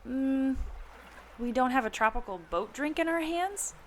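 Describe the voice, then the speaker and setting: A woman playing a sensible, curious adventurer with expressive delivery in a radio comedy. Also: high voice, a little slow. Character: sensible, curious young-spirited female adventurer Annie. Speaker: podcast host acting in audio drama. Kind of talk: amateur radio comedy acting. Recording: noticeable ambient background noise.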